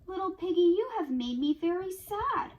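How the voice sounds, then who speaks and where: A woman doing a high-pitched woeful father pig. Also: expressive, moderate pace, a little mellow, a little hiss or hum.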